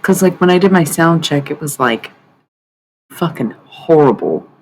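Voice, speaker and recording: A woman with a raspy voice hosting a live stream from home at night, chatting loosely to viewers and swearing freely. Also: low-pitched, expressive, unhurried, a little hiss or hum, low-quality recording. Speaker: livestream host. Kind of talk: casual live-stream chat. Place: at home at night, others asleep nearby.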